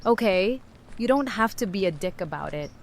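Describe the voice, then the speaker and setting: Audio drama role, female actor: tough, salty, teasing woman, medium pitch, plain-spoken, noisy ambience behind. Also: animated, moderate pace, lightly acted. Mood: blunt, exasperated. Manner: blunt, casual, salty. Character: Rosita, tough, blunt, teasing but loyal woman. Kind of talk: fan audio drama acting.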